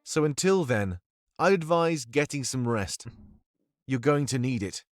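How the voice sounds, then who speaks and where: A man playing a confident, measured rebel strategist in a fantasy visual novel game, briefing formally and deliberately. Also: mid-range voice, expressive, dry recording, clean studio sound, close to an everyday voice. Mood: resolute, briefing.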